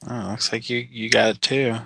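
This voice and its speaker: A man's voice: medium pitch, little pitch movement, fairly quick, vocal fry, pushed and bright, breathy edge, no room sound. A man chatting casually with a friend between prank calls and placing a call himself. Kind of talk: casual chat.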